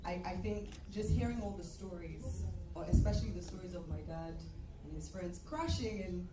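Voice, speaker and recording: A young woman giving a heartfelt birthday speech for her father, halting with fillers and restarts. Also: fairly low pitch. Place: large indoor hall at a party. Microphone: far from the recording mic. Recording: echoey hall from far off, loud crowd and noise.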